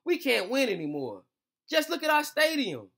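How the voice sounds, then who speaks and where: Guy doing a doubtful blunt kid in a storybook, high bright voice. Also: huge pitch swings, normal speed, vocal fry, exaggerated voice. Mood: discouraged. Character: discouraged young boy doubting his country's team.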